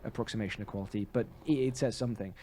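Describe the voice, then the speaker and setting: A man co-hosting a podcast, chatting casually about film in a rambling, halting way full of self-corrections. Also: lowish voice, fairly even intonation, warm tone. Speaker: podcast co-host. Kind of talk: casual film review chat.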